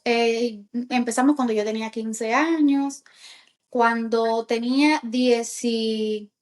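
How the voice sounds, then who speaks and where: A Cuban woman in her thirties with a Cuban accent, a podcast guest telling her life story in a halting way, with many fillers. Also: a little high. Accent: Cuban Spanish. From Cuba. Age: 30s. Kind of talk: personal testimony in casual chat.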